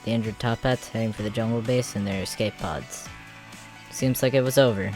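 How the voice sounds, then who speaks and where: Male narrator, lowish pitch, dramatic scripted storytelling, faint music underneath. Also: a little fast, darkish tone. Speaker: narrator and voice actor.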